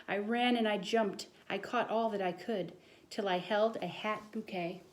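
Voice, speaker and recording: Woman acting out an excitable storybook schoolboy, earnest, insistent, dramatic tall-tale telling. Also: mid-range voice, measured pace, a little hiss or hum, close to an everyday voice. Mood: excited storytelling.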